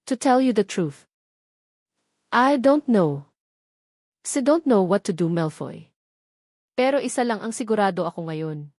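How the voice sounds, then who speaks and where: A woman's voice: medium pitch, lively intonation, measured pace, long pauses, darkish tone, no room sound, studio quality. A woman voicing a devoted but unsure young wife in an audio romance novel, speaking earnestly and soothingly. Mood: unsure, tender.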